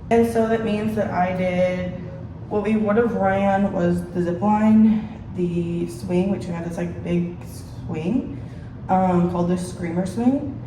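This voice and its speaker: A woman's voice: mid-range voice, dark tone. Young woman vlogger, casual and rambling, echoey bathroom with faint hiss. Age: young adult. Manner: rambling, chatty. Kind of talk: casual chat to camera. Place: small bathroom. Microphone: phone propped on a sink.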